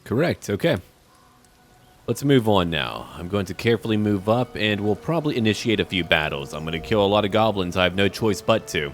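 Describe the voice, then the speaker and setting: A man's voice: fairly low pitch, very animated, fairly quick. A man hosting a roleplay video game playthrough, commenting and talking to the characters in first person. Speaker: gaming video host. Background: game music.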